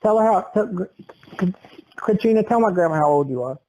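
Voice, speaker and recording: Young male podcast host, tall-tale storyteller, a bit high, mellow tone. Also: animated, fairly quick, rough recording. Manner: exaggerated tall-tale telling. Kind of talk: comic storytelling.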